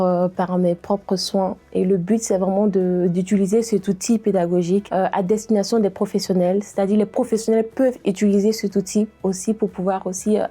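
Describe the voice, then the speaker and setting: Woman in her 30s from côte d'ivoire, lots of pauses and euhs, soft music faint underneath. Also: mid-range voice. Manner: hesitant, many pauses and "euh" fillers. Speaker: diversity and inclusion trainer. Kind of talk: interview testimony to camera.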